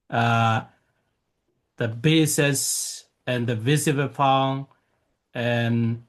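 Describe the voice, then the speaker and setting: A man whose first language is Burmese, a monk teaching a lecture, talking haltingly with many fillers and restarts. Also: mid-range voice. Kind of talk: Dharma lecture with questions.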